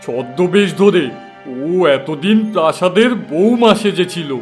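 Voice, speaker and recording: Casting: stern fairy-tale holy man, declamatory, slow delivery over music. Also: sits high, lively intonation, a little mellow. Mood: stern righteousness. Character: righteous holy man, stern exposer of evil. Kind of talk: cartoon fairy-tale voice acting. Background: background music.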